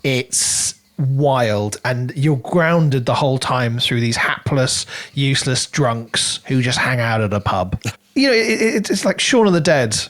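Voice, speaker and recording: A man's voice: mid-range voice, expressive, unhurried, fairly bright tone. A middle-aged man co-hosting a podcast, chatting informally and keenly in a casual book review. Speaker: podcast co-host.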